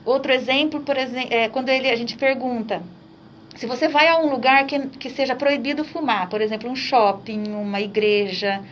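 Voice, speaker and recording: A woman's voice: on the high side, steady tone, normal speed, a bit bright, lo-fi sound, slight background noise. A Brazilian woman doctor explaining treatment on a radio interview, with many restarts. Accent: Brazilian Portuguese. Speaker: doctor guest.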